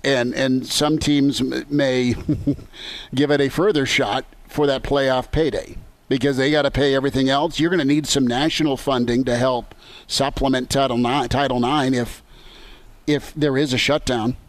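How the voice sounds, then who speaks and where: American male sports radio host, conversational delivery, medium pitch, on location. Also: steady tone, moderate pace, no room sound. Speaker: sports talk show host. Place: on location at a golf tournament.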